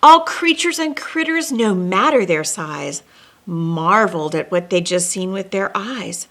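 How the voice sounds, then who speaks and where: A woman hosts a children's read-along at an unhurried pace. Her voice sits at a medium pitch, and she delivers the story expressively, with deliberate pauses and emphasis. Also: lively intonation, fairly bright tone. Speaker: storyteller and host. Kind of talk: children's picture-book read-along with asides.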